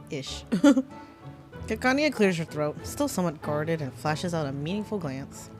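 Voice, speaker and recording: A woman's voice: deep voice, very animated, takes it slowly. A woman streaming a game, reading its text aloud and reacting in a playful, giggly way. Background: game music.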